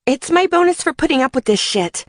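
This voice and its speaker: A weary, wry young biker mother, voiced by a female audiobook reader. Her pitch is lifted, and the sound is dry and close. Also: lively intonation, a little fast, dry recording.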